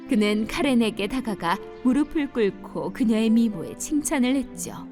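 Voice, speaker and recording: A woman's voice: on the high side, expressive, normal speed, a little mellow. A woman narrating a dubbed children's fairy-tale cartoon in a storytelling manner, with music behind her.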